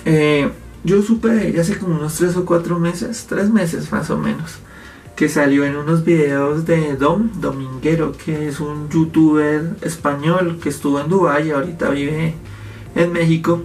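A man's voice: on the high side, steady tone, unhurried, darkish tone, soft background music. Gesturing man, home commentary. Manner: explanatory, gesturing with his hands. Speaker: YouTube host. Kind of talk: casual commentary to camera. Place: home office indoors. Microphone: fixed camera, medium framing.

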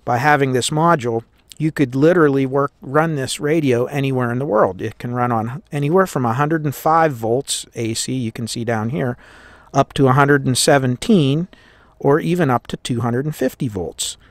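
A man's voice: medium pitch, normal speed, no echo. An American man explaining a repair step by step in a plain-spoken, informal way as he works at a bench. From United States. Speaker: host of a radio repair tutorial. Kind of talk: hands-on tutorial, explaining as he works. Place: indoors at a workbench.

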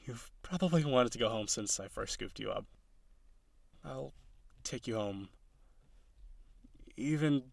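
A man playing a tender, insecure young male harpy in an intimate audio roleplay, halting and pleading as he speaks. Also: medium pitch, gravelly, no echo. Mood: sad, tender.

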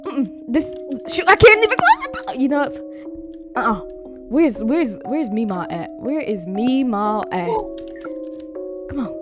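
Woman as a high-pitched, jumpy young woman. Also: wildly swooping pitch, measured pace, music in the background. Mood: panicked. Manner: stammering, repeating words, panicky, sassy. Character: jumpy, bossy young woman at a scary sleepover. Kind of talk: improvised amateur voiced roleplay comedy.

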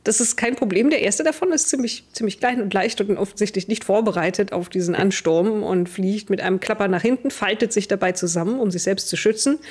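A young woman runs a tabletop role-playing game. Her voice is a little husky, at a medium pitch. She describes scenes vividly as the game master, close on a headset. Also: a little fast, few pauses, darkish tone. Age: young adult. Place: indoor home streaming setup.

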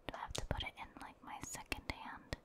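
A woman whispering soft, unvoiced ASMR commentary as she plays a video game, casually and hesitantly thinking aloud. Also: brisk. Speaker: ASMR gamer, video host.

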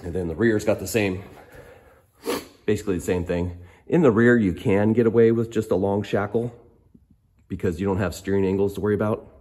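A hoarse, very deep-voiced middle-aged man walks through his work in a garage workshop. He talks slowly and casually, pausing often with ums as he explains. The sound comes from a handheld phone camera. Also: fairly even intonation, warm tone. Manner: unhurried, hesitant, many ums. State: hoarse from a cold. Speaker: off-road fabricator. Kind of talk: casual technical walkthrough.

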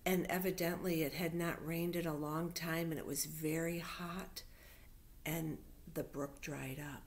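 A middle-aged woman reading Bible stories aloud to young children, explaining simply and patiently with deliberate emphasis. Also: lowish voice. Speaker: children's Sunday school storyteller. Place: living room at home. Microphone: webcam or laptop microphone at arm's length.

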